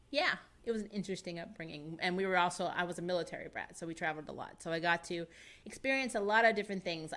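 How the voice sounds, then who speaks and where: A woman in her thirties with an American accent, hosting a casual live stream from a small home studio, chatty and animated, laughing often and thinking aloud. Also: on the low side. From United States. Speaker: live-stream host reading chat. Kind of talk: casual chat. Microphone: boom-arm studio microphone close to the mouth.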